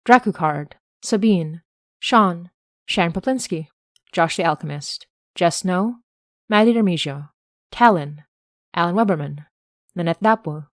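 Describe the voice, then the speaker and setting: A woman's voice: fairly low pitch, animated, unhurried, pauses often, dry recording, studio quality. A woman reading scripted podcast credits and announcements as the show's creator.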